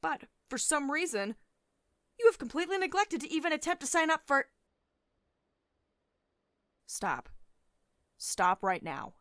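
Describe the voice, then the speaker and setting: A commanding female CEO, acted by a woman, speaks in a dry, close ASMR roleplay recording. Her pitch moves freely as she reprimands in a condescending, sardonic way. Beneath the strict authority there is a caring edge. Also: very animated, unhurried, pauses often, a bit bright, dry recording, exaggerated voice. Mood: cold, commanding. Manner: domineering, sardonic, clipped reprimands with sugary pet names.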